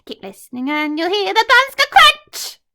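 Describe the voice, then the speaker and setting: A woman voicing a greedy, boastful male crocodile in a children's storybook, loud and sing-song. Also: extremely high pitch, big pitch swings, normal speed, voice pushed up, heavily acted. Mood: gloating.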